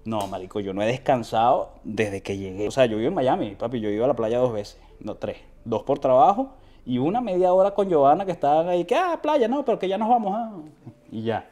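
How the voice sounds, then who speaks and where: A man's voice: mid-range voice, sing-song, fairly quick, mellow. A young man from Venezuela with a Venezuelan accent, a guest telling slangy stories with many restarts, on a sofa with a lapel mic. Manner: slangy, storytelling with quoted voices, frequent restarts. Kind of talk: casual interview. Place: living room, on a sofa.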